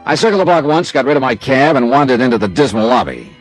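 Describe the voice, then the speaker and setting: A man's voice: medium pitch, very animated, fast talker, faint music behind. An actor playing a wry, streetwise American male investigator narrating his case in a clipped, hard-boiled radio drama style. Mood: wry, intent. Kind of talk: classic radio detective drama, first-person narration.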